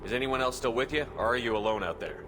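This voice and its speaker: A man playing a calm, steady military commander in a video game, speaking in a brisk, matter-of-fact way. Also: mid-range voice, close to an everyday voice. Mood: businesslike. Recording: game audio under loud music. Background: loud music.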